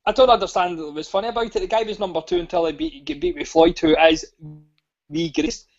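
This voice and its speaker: Gravelly, blunt man. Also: high voice, animated, fast talker, a little mellow, muffled recording. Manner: blunt, swears a lot, argumentative. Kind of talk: casual chat about boxing.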